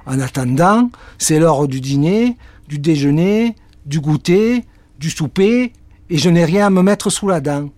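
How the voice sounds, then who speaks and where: A man's voice: on the high side, expressive, unhurried, a little mellow, dry recording, pitched above the performer's own voice. A man voicing a hungry, grumbling male house cat in a storybook reading, with a whiny, complaining manner. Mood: whining.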